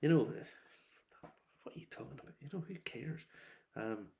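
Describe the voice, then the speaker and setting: A middle-aged man giving a casual, rambling review, opinionated and wry, breaking into animated asides. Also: medium pitch, moderate pace, creaky, a little hiss or hum. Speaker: whiskey reviewer.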